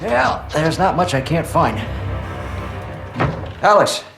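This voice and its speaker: An actor playing a swaggering private military commander in a game cutscene, with a folksy, smooth-talking manner. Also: male voice, on the high side, big pitch swings, slow, exaggerated voice. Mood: breezy, sly. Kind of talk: cinematic game cutscene acting. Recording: loud music behind the voice.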